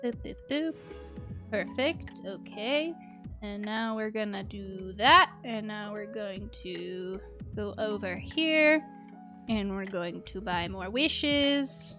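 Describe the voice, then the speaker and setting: A woman's voice: mid-range voice, lively intonation, takes it slowly, pauses often, sharp tone. A woman livestreaming a game in casual chat, playful and prone to muttering little sound words to herself. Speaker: game streamer. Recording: music playing behind the voice. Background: music.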